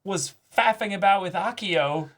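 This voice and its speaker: A man's voice: high voice, a little slow, fairly bright tone. A man hosting a reaction show, chatting casually in a rambling, emphatic and sardonic way.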